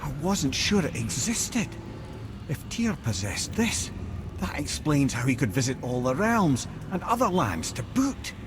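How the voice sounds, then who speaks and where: A wry old Scottish storyteller in a video game. His talk is colourful and chatty, swinging widely in pitch. There is clear background noise around the voice. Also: sing-song, measured pace. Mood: intrigued, explaining. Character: wry, talkative old male storyteller and advisor. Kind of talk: video game character acting.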